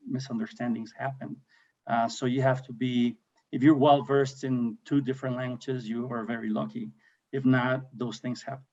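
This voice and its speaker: A man's voice: mid-range voice, a little mellow. Man, panelist, hesitant, explanatory, dull lo-fi sound. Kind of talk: virtual panel discussion.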